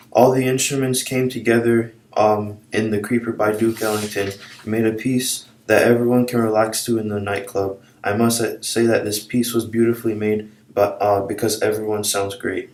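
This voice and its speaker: A man's voice: on the low side, darkish tone. A teenage male giving a scripted music report for student news, in an echoey room with faint noise. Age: teens.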